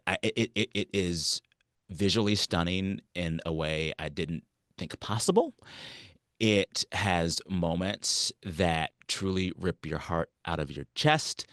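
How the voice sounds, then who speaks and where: A man co-hosting a podcast, chatting casually and teasing his guests with emphatic, animated delivery. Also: sits low, no echo, clean studio sound. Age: 40s. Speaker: podcast co-host. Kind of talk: casual chat.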